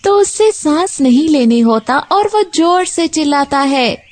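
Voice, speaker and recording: A woman's voice: sits high, expressive, measured pace. A woman narrating a scripted children's cartoon story.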